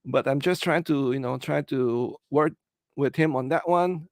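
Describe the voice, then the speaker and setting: A middle-aged man from the Philippines with a Filipino English accent, hosting a casual livestream and answering viewer comments in a halting, earnest way, full of "uh" and "you know.". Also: mid-range voice, dry recording, studio quality. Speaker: livestream host.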